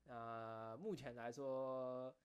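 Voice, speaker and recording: A man with a Taiwanese Mandarin accent and a mid-pitched voice hosts a casual late-night chat for his online audience. He rambles along informally, often doubling back to repeat or correct his phrases. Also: fairly even intonation, very slow, clean tone, lo-fi sound. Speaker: online video creator and host.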